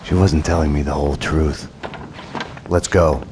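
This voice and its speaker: An actor playing a world-weary hard-boiled police detective in a video game, terse, deadpan and sardonic, delivering noir narration. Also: male voice, rumbling low, measured pace, background noise. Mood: wary, sardonic. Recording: music behind the voice.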